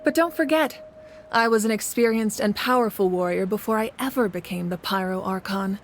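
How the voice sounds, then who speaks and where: A woman's voice: medium pitch, slight background noise, natural voice. A woman as a composed, resolute warrior leader. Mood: steadfast. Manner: measured, earnest, authoritative. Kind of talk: dramatic video game voice acting. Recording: game music behind the voice.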